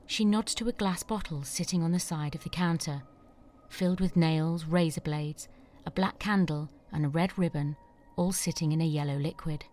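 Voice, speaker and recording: A woman narrator reading the scene directions of an audio drama in an even, measured way. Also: low-pitched.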